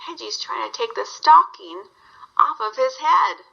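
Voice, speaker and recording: A woman's voice: high-pitched, animated, a little slow, gravelly, bright, forceful tone, dull audio. A woman reading a story aloud to young children, guiding them with simple questions.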